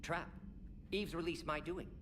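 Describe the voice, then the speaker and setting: A man voicing a brisk, fussy alien doctor in a video game, clinical and speaking in clipped, telegraphic phrases. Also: a little high, natural voice. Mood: firm, businesslike. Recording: distant, noisy game audio under stream.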